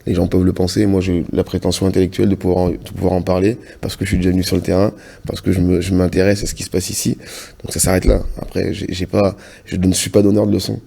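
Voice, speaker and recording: Brief: French-raised man, low-pitched, halting and earnest studio guest. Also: completely flat delivery, vocal fry, dark tone, breathy edge. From France. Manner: earnest, halting, restarts often. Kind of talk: casual TV interview answers. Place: TV studio set.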